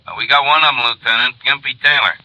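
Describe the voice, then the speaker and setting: An actor playing a matter-of-fact American police desk officer on the telephone in a radio drama, casual and slangy. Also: male voice, medium pitch, fairly even intonation, fast talker, pushed and bright, poor audio.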